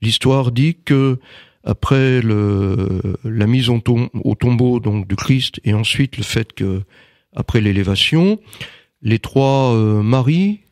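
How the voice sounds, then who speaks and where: A man's voice: medium pitch, takes it slowly, darkish tone, no echo, studio quality. A man giving an interview in casual conversation, speaking hesitantly with many fillers and restarts. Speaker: interviewee, writer and magnetizer.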